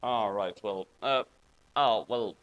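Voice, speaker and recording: A man's voice: on the low side, takes it slowly, a bit bright, lo-fi sound. A man from Norway hosting a casual commentary on fan fiction, talking in a hesitant, rambling way. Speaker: host of a commentary reading.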